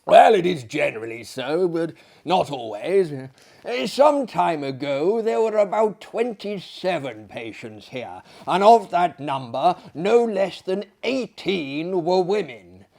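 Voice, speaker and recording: A man acts out an excitable asylum director in a storybook tale. He blusters and emphasizes his words theatrically, quick to take offence yet genial as a host, taking his time over his phrases in a raised voice. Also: a little high, creaky, heavily acted. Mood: emphatic.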